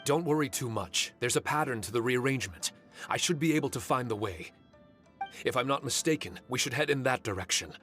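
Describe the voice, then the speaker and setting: A man voicing an earnest, forgetful male guardian spirit with a deliberate manner in an anime game. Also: mid-range voice, lively intonation, music in the background, natural voice. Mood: reassuring.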